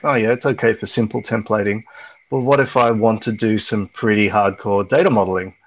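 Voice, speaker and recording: Gravelly man giving a rambling tech talk. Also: lowish voice, highs cut off. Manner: rambling, lots of ums and restarts. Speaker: meetup presenter. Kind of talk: casual conference talk over slides.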